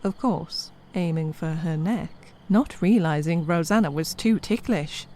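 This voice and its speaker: A woman storyteller with a slightly low voice. She narrates a scripted comedy tale in a wry way, slipping conversational asides to the listener. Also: a little slow, warm tone.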